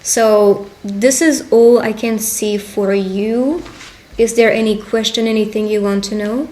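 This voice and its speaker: A woman's voice: mid-range voice, fairly even intonation, darkish tone. Woman giving an encouraging fortune reading. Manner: encouraging, conversational, pauses to interpret. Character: friendly female witch giving a love reading. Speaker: tarot reader and roleplay video host. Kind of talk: intimate roleplay fortune-telling addressed to the listener.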